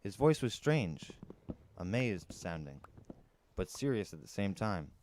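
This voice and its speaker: A man's voice: deep voice, big pitch swings, a little slow, darkish tone, slight background noise. A man reading a first-person story aloud as a dramatic narrator, on an amateur recording. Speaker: audiobook narrator.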